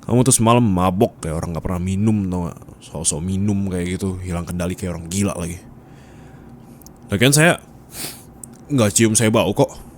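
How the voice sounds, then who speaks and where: A man's voice: low-pitched, normal speed, long pauses, lightly acted. A man playing a bossy, nagging office boss in an ASMR roleplay, scolding and teasing bluntly in colloquial speech with a Jakarta Indonesian accent. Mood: defensive, nagging. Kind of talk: intimate ASMR roleplay acting. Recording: steady background ambience noise.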